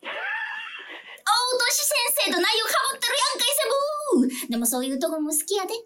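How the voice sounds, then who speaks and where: A woman playing an excitable female taxi driver and devoted radio fan in a comic radio drama, with a Kansai accent and exclamatory, melodramatic delivery. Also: extremely high pitch, normal speed, soft background music, heavily acted. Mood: emotional, indignant.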